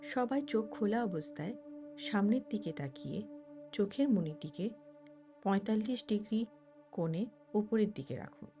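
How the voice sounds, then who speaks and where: Lady meditation teacher, soft-edged dark voice, measured phrases w pauses, music behind her. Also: medium pitch, lively intonation. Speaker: certified meditation instructor and mind trainer. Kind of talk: guided meditation, slow instructional delivery. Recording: background music under the voice.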